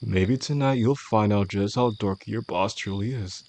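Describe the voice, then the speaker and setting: A man playing a possessive, menacing boss in a romantic audio roleplay, speaking in a controlled, formal way with a gravelly voice. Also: on the low side, a little mellow. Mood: flirty, warm. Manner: controlled, deliberate, formal wording. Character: possessive, menacing male boss obsessed with an employee.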